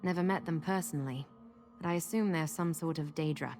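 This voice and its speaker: A fairly low female voice of an actress playing a guarded, troubled recluse in a fantasy video game. She speaks in a brooding, confiding way, weary and haunted by lost memory. Music plays clearly behind the dialogue. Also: a little fast, darkish tone, lightly acted. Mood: guarded, matter-of-fact.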